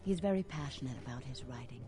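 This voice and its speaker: A woman's voice: a bass voice, animated, fast, music in the background. An actress playing a seductive, dramatic female vampire in a video game, teasing and sorrowful, slipping into whispered phrases. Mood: coaxing, wistful.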